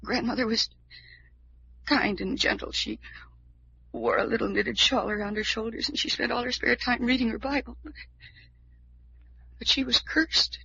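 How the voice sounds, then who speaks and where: An actress playing a despairing young heiress who believes she is cursed, in halting, melodramatic 1940s radio drama style. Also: on the high side, steady tone, creaky, bright, forceful tone, slight background noise. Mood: anguished. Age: young adult. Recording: muffled, dull old radio recording.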